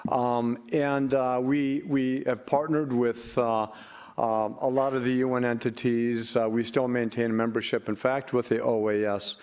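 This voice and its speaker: An older man giving an unscripted conference panel talk, halting and rambling, with frequent hesitations. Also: medium pitch, little pitch movement, darkish tone, smooth. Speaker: panel speaker for a relief organization.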